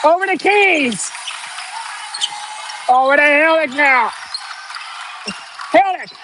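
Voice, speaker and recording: A man with a strikingly high voice calls a basketball game from inside a gym, shouting the plays in a slow, drawn-out way. His voice sounds strained and worn, close to giving out. Loud gym noise and bouncing balls fill the background. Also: expressive. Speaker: amateur play-by-play announcer. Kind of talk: live basketball play-by-play commentary. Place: indoor school gym. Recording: noisy gym with ball bounces.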